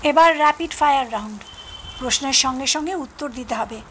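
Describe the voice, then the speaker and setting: High, bright young Bengali woman announcer. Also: brisk. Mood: businesslike, playful. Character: brisk young Bengali daughter-in-law running family quiz. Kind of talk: audio drama acting. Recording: faint noise behind voice.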